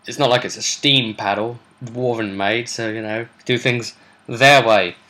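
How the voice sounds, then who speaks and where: A British man with a bright, forceful voice and an average pitch. He rambles through a tabletop adventure like a game master among friends, chatty and animated, in a casual storytelling style. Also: a little slow. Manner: animated, chatty, acts out brief quotes. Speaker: host and game master recapping sessions.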